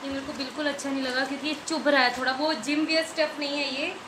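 A woman's voice: sits high, fairly even intonation, fast. A woman in her thirties chatting casually to camera as she unboxes and reviews an order. She rambles and repeats herself, and grows emphatic when she complains. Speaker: vlogger. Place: small tiled room indoors. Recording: echoey tiled room, loud background hiss.

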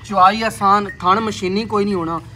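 A man's voice: sits high, steady tone, normal speed, bright, forceful tone, a little hiss or hum. A man with a Punjabi accent presenting cows for sale in an outdoor farmyard, with hearty salesman patter aimed at his viewers. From Pakistani Punjab. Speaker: livestock video presenter.

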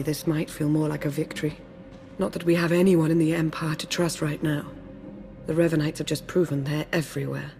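An actress playing a composed woman agent who speaks in a calm, steady way. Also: low-pitched.

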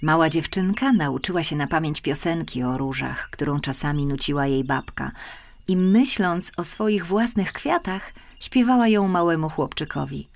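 A woman narrating a children's fairy tale as an audiobook reader, expressive and deliberate, over music. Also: deep voice, creaky.

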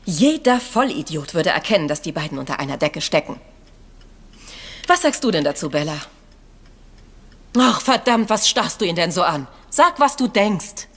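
Husky, animated scheming niece. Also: female voice, fairly low pitch, moderate pace, long pauses, exaggerated voice. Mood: exasperated. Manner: forthright, impatient, pushy. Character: outspoken, scheming niece hungry for an inheritance. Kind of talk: German radio crime drama acting.

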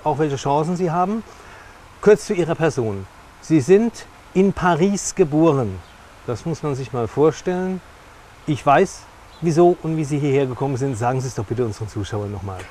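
A man's voice: fairly high pitch, animated, fairly quick, darkish tone. A middle-aged man hosting an interview, speaking emphatically and repeating phrases for stress. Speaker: interviewer and host. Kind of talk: television interview presenting. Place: outdoor lakeside café terrace. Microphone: lapel mic. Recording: clear outdoor background noise.

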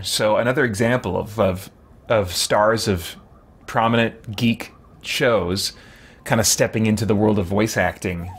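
A man's voice: fairly low pitch, slightly rough. A man in his forties giving casual gameplay commentary, musing and often interrupting himself. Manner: rambling, musing, self-interrupting. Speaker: game streamer. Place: small indoor room with shelves.

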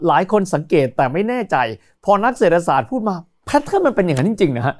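A man's voice: high voice, big pitch swings, quick pace. A man in his thirties hosting an interview, asking short polite questions and giving brief acknowledgments. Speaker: host.

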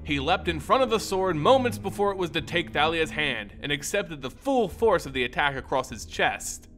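Animated male fantasy storyteller, slightly high. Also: normal speed, faint music behind. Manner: theatrical, expressive. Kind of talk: dramatic scripted fantasy narration.

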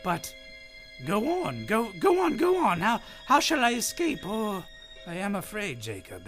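A woman's voice: mid-range voice, a little slow, soft background music. A woman playing a frightened, stammering old miser in a radio play. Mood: anxious pleading. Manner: stammering, pleading, excitable.

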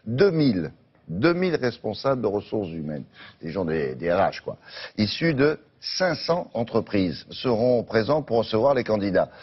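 Husky guy hosting morning tv, offhand and chatty, bit dull sound. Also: lowish voice, sing-song, normal speed. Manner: offhand, conversational, bantering. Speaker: morning TV show host. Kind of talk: casual live studio presenting. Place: TV studio.

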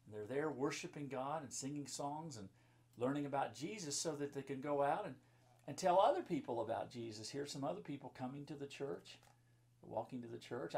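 A man's voice: medium pitch, creaky, a little mellow, husky. A man in his fifties, a pastor reading and talking to children in simple, explanatory phrases as in a Bible story time. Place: book-lined room indoors.

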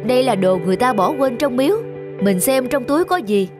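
A woman's voice: on the high side, animated, brisk, music in the background, lightly acted. A woman voicing an honest, humble middle-aged male farmer in a folktale cartoon, plain and principled in manner. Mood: concerned. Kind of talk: Vietnamese folktale cartoon acting.

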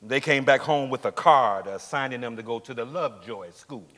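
An actor playing a steadfast working man of forty-nine on the witness stand, deliberate, hesitant and formally polite, in a dramatic stage reading. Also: medium pitch, fairly bright tone, close to an everyday voice. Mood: plain, polite. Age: middle-aged. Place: large indoor theatre stage. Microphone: lapel mic. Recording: echoey theatre stage, long reverb.

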